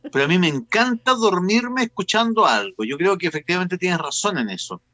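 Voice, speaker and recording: Bright-voiced Chilean man, casual interviewer. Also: high-pitched, sing-song, a little fast, dry recording, lo-fi sound. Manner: colloquial, interjecting questions. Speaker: interview show host.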